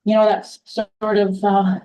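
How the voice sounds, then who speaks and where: A soft-spoken woman addressing a town council during public comment, halting with many restarts and fillers. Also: mid-range voice, flat delivery, recorded from a distance, poor audio.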